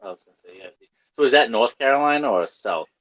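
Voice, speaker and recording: A man's voice: medium pitch, animated, fast, a bit bright, dull audio. A man with a Boston accent, a podcast guest, laid-back with brief replies. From Boston area, Massachusetts. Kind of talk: casual podcast chat.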